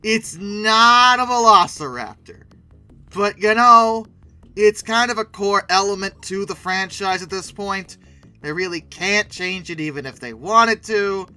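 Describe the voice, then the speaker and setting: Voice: medium pitch, measured pace, bright, forceful tone, faint music behind. A video host giving casual, sarcastic commentary with emphatic delivery.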